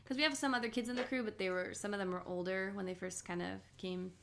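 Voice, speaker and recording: A woman's voice: mid-range voice, fairly even intonation, fast, creaky, a little hiss or hum. A young woman co-hosting a casual chat podcast with her sister, talking loosely and laughing often. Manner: chatty, laughs often, lots of "like". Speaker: podcast co-host.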